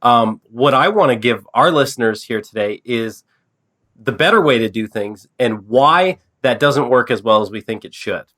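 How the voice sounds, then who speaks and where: A man's voice: medium pitch, animated, moderate pace, sharp tone. A Canadian man hosting a casual business podcast, a little stuffed up from a cold, talking loosely with fillers and restarts. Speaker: podcast host.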